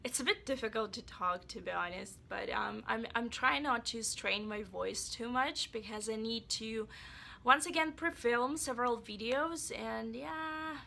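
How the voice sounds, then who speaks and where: Chatty woman with a gravelly, bright voice. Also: a little high, a little slow, slight background noise. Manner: chatty and rambling, speaks straight to viewers. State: voice a bit off from being sick. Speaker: book vlogger. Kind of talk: casual book review vlog.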